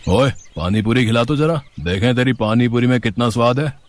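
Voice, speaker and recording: A man's voice: mid-range voice, brisk, lightly acted. A man playing a rowdy, menacing street thug who talks rudely and demandingly in a story. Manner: rude, demanding.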